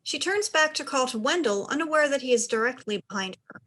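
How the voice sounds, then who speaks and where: Higher-pitched woman narrating stage directions. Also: animated, brisk, sharp tone.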